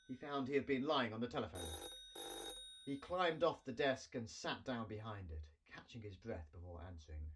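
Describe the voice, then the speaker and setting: A man in his forties giving an expressive reading of a children's story, as its author. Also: medium pitch, a little hiss or hum, rough recording. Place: small cluttered room indoors.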